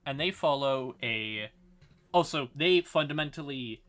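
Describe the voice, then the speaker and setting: A man giving an informal livestream explainer on fantasy lore, halting and wry, with casual asides to his chat. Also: medium pitch, animated, a bit bright.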